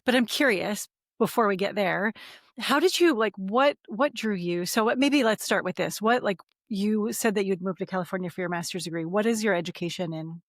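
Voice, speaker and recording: A woman's voice: mid-range voice, fairly quick, no echo. Chatty woman podcast host, dry sound. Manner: chatty, encouraging, with fillers and laughs. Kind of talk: conversational interview.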